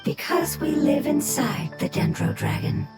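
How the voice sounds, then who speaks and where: A woman voicing a small, gentle forest spirit in a game, speaking in a soft breathy whisper with a solemn, formal manner. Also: sits high, very animated, a little slow. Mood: earnest. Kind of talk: game voice acting. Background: loud game music.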